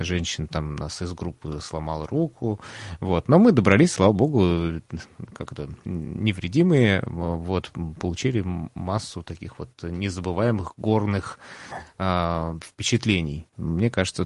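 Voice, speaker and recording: Мужчина, ведущий непринуждённой беседы о личном опыте, говорит с запинками, повторяя начала слов. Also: male voice, sits low, darkish tone, no room sound. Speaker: host. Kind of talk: casual travel conversation.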